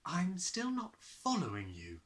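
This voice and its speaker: A gentle woman schoolteacher from a children's storybook, put on by a man. She speaks softly and patiently, a little puzzled, with a soothing care and a very mobile pitch. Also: huge pitch swings, a little slow, heavily acted. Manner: soft, careful, soothing.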